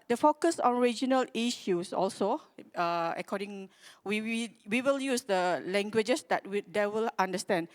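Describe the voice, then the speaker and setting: A woman with a Malaysian accent giving a conference presentation, speaking haltingly and often searching for her words. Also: medium pitch. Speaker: conference speaker from a public radio broadcaster.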